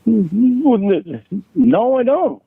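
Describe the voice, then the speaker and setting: Guy on a tinny phone call, halting and defensive, keeps tripping over his words, dry sound. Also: very high voice, very animated, vocal fry, slightly rough, dry recording. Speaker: caller in a recorded phone argument. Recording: tinny phone line recording.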